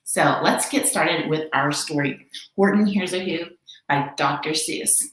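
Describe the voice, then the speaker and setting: A woman children's librarian presenting a storytime read-aloud with expressive, emphatic delivery. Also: low-pitched, unhurried, slight background noise. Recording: very echoey room.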